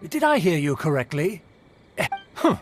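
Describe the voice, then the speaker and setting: A man's voice: fairly high pitch, big pitch swings, normal speed. A man voicing a haughty, temperamental aristocrat in a video game, pompous and scornful, with formal archaic diction. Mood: indignant, condescending. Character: haughty, temperamental aristocrat of a fallen noble clan. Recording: music behind the voice. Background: game music.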